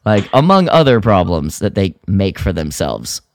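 A man co-hosting a podcast, chatting casually and retelling a story in an animated way. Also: deep voice, moderate pace, no echo, studio quality. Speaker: podcast co-host. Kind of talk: casual review chat about an audio drama.

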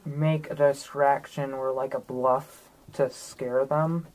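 Really low voiced lady chatting thru a tabletop game, bit of background noise. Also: steady tone, slow, darkish tone, poor audio. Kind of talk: casual in-character tabletop game chat.

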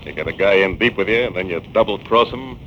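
A man's voice: on the low side, quick pace, lightly acted. A man playing a menacing tough gangster. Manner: hard, threatening, curt. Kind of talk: 1940s radio acting. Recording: dull old radio transcription with hiss.

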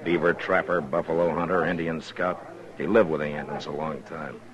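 An American actor playing a stern, weary frontier lawman in a 1950s radio western, plain-spoken and measured. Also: very deep voice, little pitch movement, highs cut off, crowd noise. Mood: grim, reflective. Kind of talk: 1950s radio western acting, partly first-person narration.

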